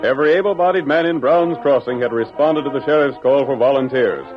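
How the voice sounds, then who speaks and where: A man's voice: mid-range voice, fairly quick, close to an everyday voice. A man narrating an old radio Western serial in a formal, dramatic style. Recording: dull old radio recording, loud music.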